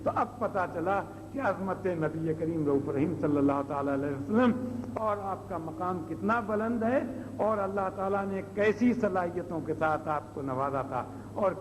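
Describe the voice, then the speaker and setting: Guy giving an islamic sermon, kinda high voice, repeats points, big reverb on an old tape. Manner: explanatory, with rhetorical questions and repetition. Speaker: Islamic preacher. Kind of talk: sermon, explaining religious stories. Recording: old noisy cassette, long hall reverb.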